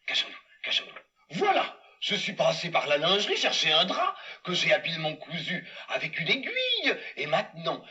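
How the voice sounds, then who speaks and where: A sneaky, scheming castle steward, the villain of a children's puppet show, played by a man. His voice is high and bright and swoops wildly up and down in theatrical glee. The recording is dull and taken from a distance. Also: full character voice. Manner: theatrical, gleeful, addresses the audience.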